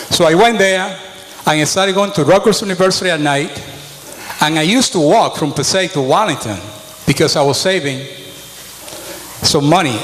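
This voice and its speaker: Middle-aged dominican-born guy, chatty storytelling, slightly high voice, reverb-y hall. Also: a bit bright, a little hiss or hum. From Dominican Republic. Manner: plainspoken, conversational storytelling. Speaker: mayoral candidate. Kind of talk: debate opening statement. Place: school auditorium.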